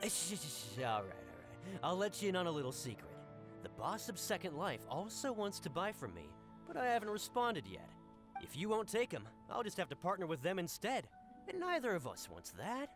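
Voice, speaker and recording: Wildly animated pushy merchant. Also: male voice, high-pitched, fairly quick. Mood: wheedling. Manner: salesman-like, emphatic. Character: pushy, wheedling male fruit merchant. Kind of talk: video game voice acting. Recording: game music behind the voice.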